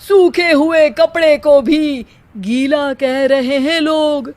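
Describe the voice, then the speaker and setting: A woman's voice: sits high, slow, darkish tone. Woman voicing a theatrical, lazy young rhyming husband. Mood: aggrieved. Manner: theatrical poetry recital. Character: lazy young husband spouting rhyming couplets, self-pitying. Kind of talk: cartoon story acting.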